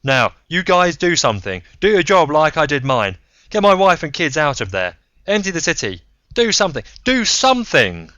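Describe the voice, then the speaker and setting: A man voicing a gruff, cornered, desperate man in an audiobook story, blunt and emphatic. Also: on the high side, sing-song, normal speed, sharp tone, dry recording, highs cut off, pitched above the performer's own voice. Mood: desperate urgency.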